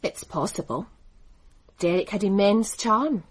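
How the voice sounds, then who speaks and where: A woman's voice: medium pitch, measured pace. An actress playing a poised woman who runs a racing business, speaking in a composed, dry way in a radio drama. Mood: cool. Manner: composed, dry, crisply assured. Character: poised woman racing executive, cool and cutting.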